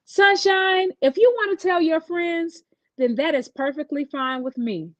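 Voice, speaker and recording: A woman's voice: very high-pitched, measured pace, no room sound, lo-fi sound, voice pushed up. A woman voicing a loving, grandmotherly caregiver in a storybook, tender and reassuring.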